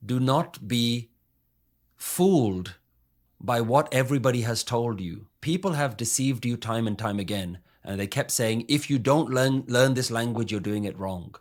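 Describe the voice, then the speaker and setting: Male spiritual speaker, mid-pitched, earnest and emphatic, leans on rhetorical questions. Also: unhurried, darkish tone. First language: English. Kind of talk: earnest teaching talk.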